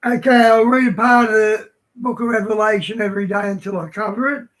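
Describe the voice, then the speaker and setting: A hoarse man hosting a livestream, reading scripture aloud haltingly and chatting casually. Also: high-pitched, little pitch movement, fairly bright tone. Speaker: livestream host.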